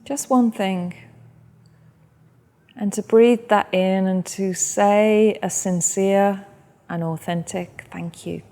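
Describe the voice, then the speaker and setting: A woman guides a meditation in a soft and gentle way, taking her time between phrases. Her voice sits at an ordinary middle pitch. A long reverb hangs behind her words. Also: steady tone. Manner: soft, slow and gentle guidance. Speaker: yoga and meditation teacher.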